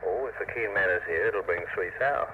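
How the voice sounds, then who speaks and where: A very narrow, noisy archive recording of a man. His voice is fairly low. He talks casually, as if answering an interviewer. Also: brisk. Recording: noisy, phone-like old location tape.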